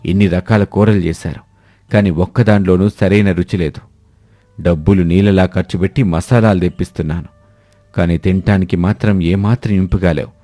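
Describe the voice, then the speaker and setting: A man's voice: low-pitched, steady tone, fairly quick, mellow, no room sound, lightly acted. A man voicing a fussy, gluttonous rich village headman in a story, grumbling and insistent. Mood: irritated.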